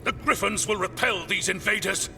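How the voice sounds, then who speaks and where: Gravelly, high-pitched deluded commander. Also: male voice, moderate pace. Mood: imperious, delusional. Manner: imperious, barking orders. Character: deluded, haughty military commander reliving a siege. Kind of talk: video game drama acting. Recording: loud game music behind.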